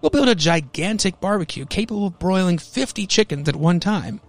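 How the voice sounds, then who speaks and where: A man's voice: high voice, expressive, brisk, voice pushed up. A man voicing an ambitious restaurant owner with a grand, enthusiastic salesman's manner. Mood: grandly ambitious.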